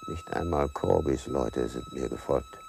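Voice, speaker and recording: Casting: menacing male killer, mock-polite and scornful, gravelly delivery with music behind, radio crime drama. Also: extremely high pitch, wildly swooping pitch, measured pace, exaggerated voice. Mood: watchful, scornful. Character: menacing, contemptuous, cold-blooded male killer. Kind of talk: German radio drama monologue.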